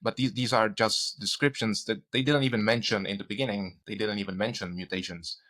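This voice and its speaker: A man, a medical researcher interviewed as a guest, explaining technical ideas in a hesitant, halting way. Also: fairly low pitch, steady tone, fairly bright tone. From Czech Republic, grew up in Spain. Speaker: guest, doctor and cancer researcher.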